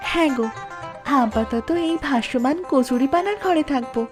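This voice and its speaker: A high, girlish female voice plays a young village bride in a cartoon. She is gentle and encouraging. Music is clearly heard behind her. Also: lively intonation, moderate pace. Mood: reassuring.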